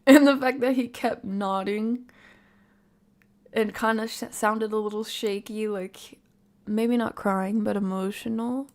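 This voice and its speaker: A woman with noticeable vocal fry hosts a casual livestream reaction. Her talk is slangy and animated, and she picks things apart out loud as she goes, at an everyday female pitch. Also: measured pace. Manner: animated, slangy, analytical, thinking aloud. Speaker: livestream host reacting to a video. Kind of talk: casual reaction commentary to live viewers.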